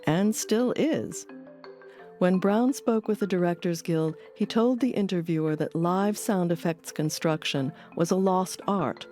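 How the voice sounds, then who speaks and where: Female narrator for audio documentary: low register, mellow delivery, light music bed. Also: unhurried. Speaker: documentary host.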